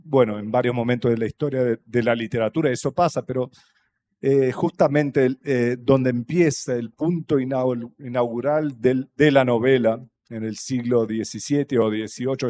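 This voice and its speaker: Hesitant Brazilian man with a mellow voice. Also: mid-range voice. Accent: Brazilian Portuguese. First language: Portuguese. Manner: thoughtful, halting, with many fillers and restarts. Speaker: panel guest, writer. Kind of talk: festival panel talk. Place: stage at a public festival panel.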